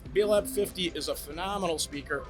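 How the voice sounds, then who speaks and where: A man giving an eager sales pitch as a brand representative, demonstrating home theater gear in a trade show demo room. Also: quick pace, over music. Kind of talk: product demo talk.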